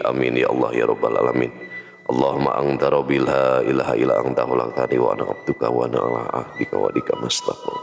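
A man's voice: extremely low pitch, gravelly. A man in his forties with a Sundanese accent, giving a solemn, murmured devotional talk and prayer recitation close to the microphone. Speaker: storyteller leading a closing prayer. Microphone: studio condenser with pop filter, very close. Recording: long hall reverb, music behind. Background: music.

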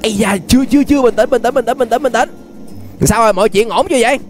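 A young man with a southern Vietnamese accent giving excited, exclamatory gameplay commentary, often repeating phrases as he reacts. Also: very high voice, rapid-fire, soft background music. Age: young adult. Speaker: gaming YouTuber, host. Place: indoors at a gaming setup. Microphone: desk microphone close to the face.

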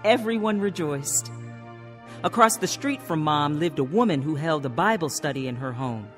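Earnest born again woman telling her story on a radio drama, expressive pitch, music under it. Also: normal speed. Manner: earnest, heartfelt. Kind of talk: radio drama narration and dialogue.

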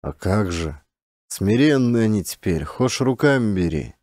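A man's voice: fairly low pitch, sing-song, measured pace, darkish tone, no echo, clean studio sound. A man voicing a simple, good-natured, grumbling peasant hunter with rustic, folksy speech, in an audiobook. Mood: gentle musing.

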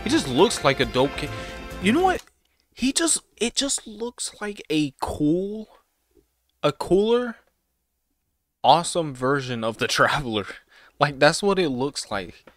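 A young man reacts casually in slangy bursts, his pitch jumping around with lively swings. He speaks close to the mic in a home room, with music playing behind him. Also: unhurried, long pauses, no room sound. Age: young adult. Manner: informal, slangy, exclamatory. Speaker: reaction video host. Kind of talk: casual excited reaction commentary. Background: game trailer music.

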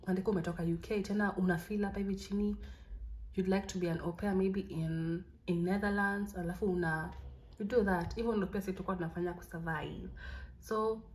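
A young Kenyan woman with a Kenyan accent, talking through a casual tutorial at an average pitch. She is chatty and encouraging and slips back and forth between Swahili and English. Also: steady tone, fast, a little mellow. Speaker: vlogger.

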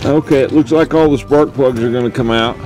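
An older man working on an old truck outdoors, calmly giving practical instructions. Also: mid-range voice, a little fast, over music, poor audio. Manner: calm, practical, gives instructions. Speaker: father and co-host mechanic. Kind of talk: casual shop talk.

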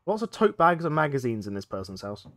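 A man's voice: mid-range voice, sing-song, fast talker, a bit bright, no room sound. Stuffy-nosed man narrating gameplay. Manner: chatty, thinks aloud while playing. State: stuffy nose from a cold. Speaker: let's play gaming host. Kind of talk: casual gameplay commentary.

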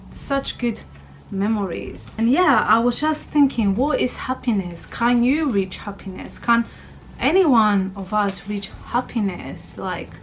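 A woman's voice: a little high, a little hiss or hum. Chatty thirty-year-old woman vlogging from her bedroom. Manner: rambling, chatty, many fillers. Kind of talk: casual chat to camera. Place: bedroom, indoors. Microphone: camera at arm's length.

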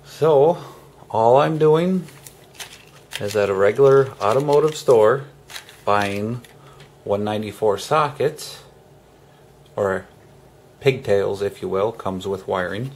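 A low-voiced man walks through a hands-on job in a casual, unhurried way. His delivery is halting and broken into short phrases, with a lot of creak in the voice. Some steady room noise sits behind him. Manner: halting, pausing mid-sentence in short phrases. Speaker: homesteader host of a DIY tutorial. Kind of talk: casual hands-on how-to explanation.

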